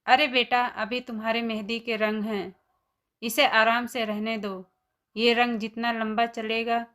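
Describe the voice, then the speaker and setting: Woman playing a slow-speaking, gentle mother-in-law. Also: fairly high pitch. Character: kind, indulgent mother-in-law in a family story. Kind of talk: animated moral story dialogue.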